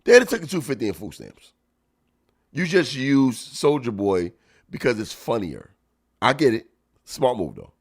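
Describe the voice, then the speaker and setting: A man hosting a podcast, a stand-up comic chatting and reacting to clips, with a stuffy nose and loud laughs. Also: medium pitch, moderate pace, long pauses, slightly rough. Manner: animated, laughs loudly, chatty slang. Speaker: podcast host and stand-up comedian. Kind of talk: casual chat reacting to clips.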